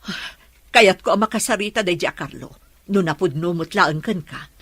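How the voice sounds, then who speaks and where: A woman with an Ilocano accent playing a stern, probing middle-aged mother in a radio drama, her voice husky. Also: fairly low pitch, normal speed, a bit bright, no echo.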